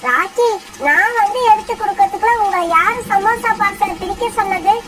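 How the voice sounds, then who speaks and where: A woman's voice: extremely high pitch, normal speed. A woman voicing a scheming, nagging female bird in a cartoon story. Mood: accusing. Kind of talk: dubbed cartoon dialogue. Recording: clearly noisy recording.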